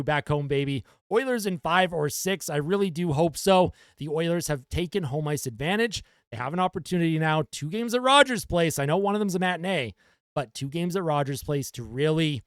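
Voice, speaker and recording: Excitable male hockey fan, dry sound. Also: a little high, smooth, dry recording. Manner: animated, excitable fan reading out comments. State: losing his voice. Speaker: fan reaction show host. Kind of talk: casual hockey post-game commentary.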